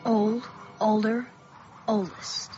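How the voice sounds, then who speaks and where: A woman playing a bold, defiant thirteen-year-old small-town boy in a radio drama, brash and excitable. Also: medium pitch, drawn-out words. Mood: hushed awe. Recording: dull lo-fi audio with music.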